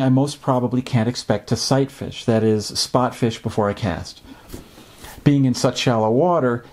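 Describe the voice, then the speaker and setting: Man, 50s, fishing host, measured explanatory delivery, filler ums, mid pitch, indoor desk setup. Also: darkish tone. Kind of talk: instructional fishing talk. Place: indoor home office.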